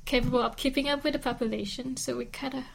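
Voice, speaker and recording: Woman, slightly high voice, conversational discussion, noticeable background noise. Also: steady tone, fast talker. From Vietnam. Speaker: discussion participant. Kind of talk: informal discussion in a second language. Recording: clearly noisy background with clicks.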